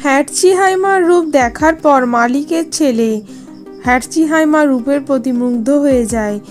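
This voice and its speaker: A woman's voice: high-pitched, normal speed, faint music behind. A woman narrator reading a scripted fairy tale for a cartoon.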